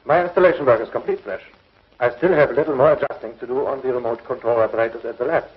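An actor playing a sober, precise scientist who explains things matter-of-factly in a 1950s television sci-fi serial. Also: male voice, medium pitch, rapid-fire, lightly acted. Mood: explaining. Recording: dull vintage TV soundtrack.

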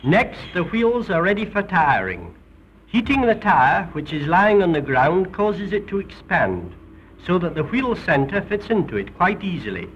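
High-pitched British man, vintage film narrator. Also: very animated, measured pace, a bit bright. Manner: formal, precise, theatrical turns of phrase. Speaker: documentary narrator. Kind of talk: 1930s educational film narration, scripted. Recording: dull, noisy 1930s film soundtrack.

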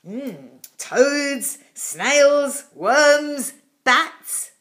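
A woman voicing a grumpy, hungry but wistful storybook dragon with drawn-out, deliberate delivery. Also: sits high, lively intonation, a bit bright, voice pushed up, full character voice. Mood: hungry, relishing.

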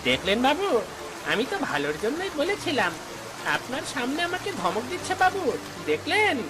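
Woman as sneering cartoon lackey, high-pitched, fawning, wildly animated, music and rain behind. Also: normal speed, background noise. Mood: whining, fawning. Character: sneering, fawning lackey of a rich village landlord. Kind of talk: Bengali fairy-tale cartoon dialogue.

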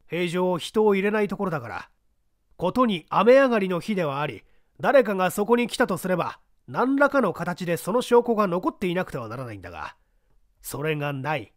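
A man reading a mystery aloud, playing a seasoned older investigator who explains the case in a measured, testing way. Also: sits high, no echo, studio quality.

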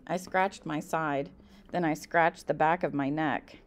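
A woman reads a children's book aloud in an expressive, emphatic storytelling style. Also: low-pitched, measured pace. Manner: animated, emphatic delivery.

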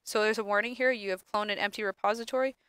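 Sniffly young woman teaching software. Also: mid-range voice, fairly even intonation, quick pace, smooth, no echo. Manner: casual, many ums and self-corrections. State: sniffly from allergies. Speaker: lab instructor. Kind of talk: screen-recorded software tutorial.

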